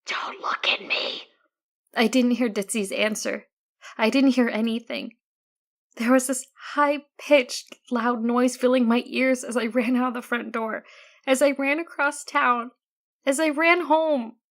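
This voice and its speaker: Female narrator, first-person horror audiobook, unhurried delivery, slightly high pitch with vocal fry. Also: steady tone.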